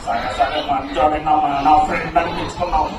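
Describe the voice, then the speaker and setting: A man's voice: fairly high pitch, steady tone, a little fast, natural voice. A man voicing a mischievous tempter puppet in a children's show, teasing and cajoling with exclamatory questions. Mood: gleeful scheming. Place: hall with live audience. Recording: echoey hall, distant mic, loud crowd noise.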